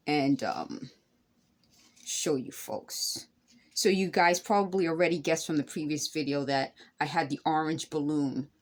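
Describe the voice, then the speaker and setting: A woman with a slightly low voice talks viewers through a hands-on craft. She is casual and chatty, explaining step by step and wandering a bit as she goes. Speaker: craft tutorial host.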